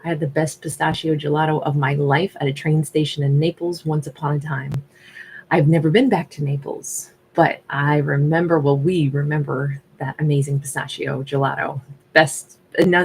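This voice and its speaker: A woman's voice: low voice, a little mellow, a little hiss or hum, low-quality recording. A woman doing a casual live-stream tarot reading, talking in a loose, reflective way with frequent asides. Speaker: tarot reader.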